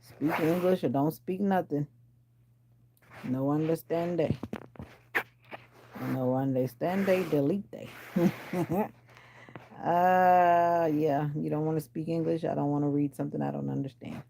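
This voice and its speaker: A sleepy middle-aged woman, raspy from a sinus infection, chatting casually with viewers on a phone livestream from home and teasing them by name. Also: low voice, steady tone, slow pace, long pauses, noisy background. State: sleepy and exhausted. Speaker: livestream host. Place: at home indoors.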